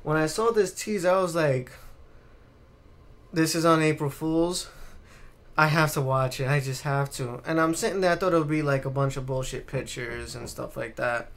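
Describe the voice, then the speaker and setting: A man's voice: on the high side, normal speed, fairly bright tone. A man co-hosting a gaming chat, telling anecdotes in an informal, slangy, sweary way. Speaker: podcast co-host.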